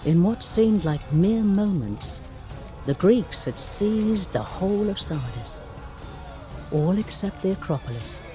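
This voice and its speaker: A man's voice: high voice, animated, slow, a little mellow. A man playing a solemn ancient chronicler, narrating history for a video game in a grave, theatrical storytelling manner. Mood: grave, dramatic. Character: male ancient chronicler recounting war with solemn relish. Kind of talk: dramatic scripted historical narration. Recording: studio voice-over under prominent music.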